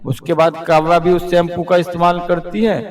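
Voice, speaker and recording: A man narrates a children's fairy tale from a script. His voice sits a little high for a man, and it carries a clear roomy echo. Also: completely flat delivery, a little fast.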